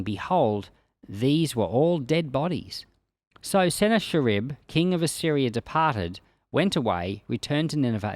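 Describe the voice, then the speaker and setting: A man's voice: fairly high pitch, no echo. A man speaking as a pastor, reading and explaining scripture in a plain-spoken, explanatory way. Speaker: pastor and Bible podcast host. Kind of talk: scripture reading followed by informal devotional commentary.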